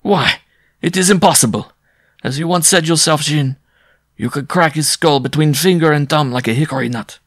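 A man's voice: mid-range voice, moderate pace, creaky, fairly bright tone, slightly rough, no room sound, clean studio sound, pitched above the performer's own voice. Man acting a vain, theatrical circus charmer. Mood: incredulous. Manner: suave, theatrical, self-satisfied. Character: vain, middle-aged male circus bareback rider, a flirt.

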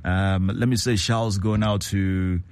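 A man hosting a call-in radio show, repeating callers' words back in a relaxed way. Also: deep voice, slow, smooth. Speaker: radio host.

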